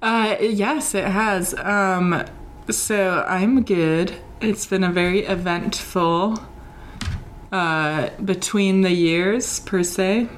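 Slow, chatty British woman storyteller. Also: mid-range voice, a little hiss or hum. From Britain. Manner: chatty storyteller, many ums and likes. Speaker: podcast co-host. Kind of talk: casual chat.

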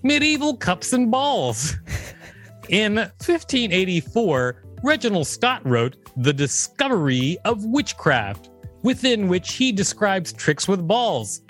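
A man's voice: sits high, big pitch swings, slow pace, fairly bright tone, soft background music. A male podcast co-host reading an encyclopedia article aloud, with an amused, casual manner.

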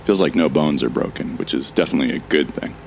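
A man playing a calm, wry, self-deprecating amnesiac survivor who narrates an audio drama in a gravelly, measured, introspective way. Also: rumbling low, a little hiss or hum.

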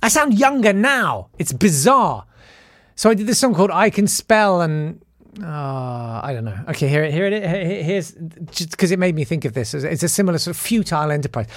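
Man, YouTube host, rambling, self-deprecating, slightly high voice, dry sound. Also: expressive, moderate pace, no echo. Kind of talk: casual rambling monologue.

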